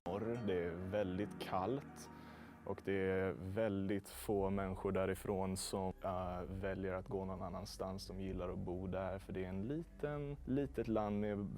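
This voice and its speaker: A man from Sweden talking in a relaxed, matter-of-fact way. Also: deep voice, moderate pace, soft background music. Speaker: language speaker in a guessing video.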